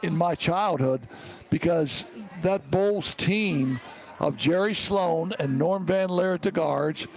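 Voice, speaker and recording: Middle aged american guy doing sports talk, gravelly fry, kinda high voice, rambles and repeats himself. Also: takes it slowly. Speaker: sports show host. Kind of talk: casual sports chat.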